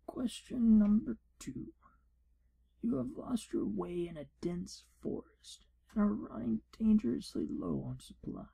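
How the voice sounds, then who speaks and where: A man as a hushed, gravelly wizard proctor. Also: sits high, animated, full character voice, poor audio. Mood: deliberate, formal. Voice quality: hushed, breathy, husky. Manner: formal, deliberate, theatrical. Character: pompous, fussy, exasperated wizard exam proctor, male. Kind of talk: whispered ASMR role-play.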